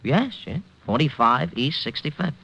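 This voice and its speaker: An actor playing a nervous, defensive newspaper columnist, flustered and insistent, in an old-time radio drama. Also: male voice, mid-range voice, very animated, normal speed, creaky, sharp tone, highs cut off, heavily acted. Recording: vintage radio drama recording.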